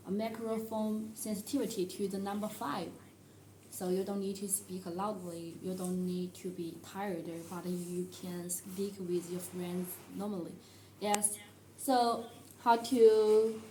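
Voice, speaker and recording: A woman who speaks English as a second language, presenting a product tutorial in a halting, step-by-step way. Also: mid-range voice, dark tone, a little hiss or hum, poor audio. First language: not English. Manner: halting, step-by-step delivery with non-native phrasing.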